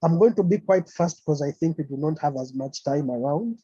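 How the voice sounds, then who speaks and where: A man's voice: a little high, expressive, brisk, dark tone, slightly rough, dry recording. A man from Uganda giving an online conference presentation, talking hesitantly with many fillers over a video call. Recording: dull, lo-fi call audio.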